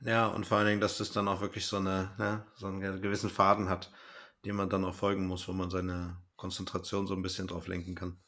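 A man's voice: low voice, completely flat delivery, fast, sharp tone. A man, hosting a podcast in a casual interview chat. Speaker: podcast host.

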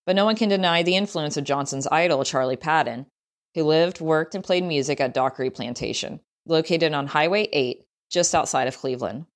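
A woman's voice: low-pitched, a little fast. A woman reading a travel story aloud as scripted narration.

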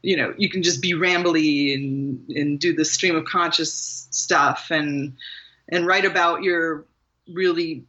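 A woman's voice: deep voice, steady tone, slow pace, fairly bright tone, slightly rough, dull audio. A woman from Maryland, a guest author, talking casually in an interview, rambling a little and laughing often. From Maryland, USA.

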